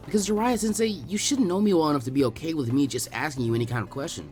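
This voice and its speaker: A man voicing a brash, excitable young boy ninja in a fan fiction reading, blunt and cheeky with eager questions. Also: fairly high pitch, very fast, soft background music, lightly acted. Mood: wary, probing.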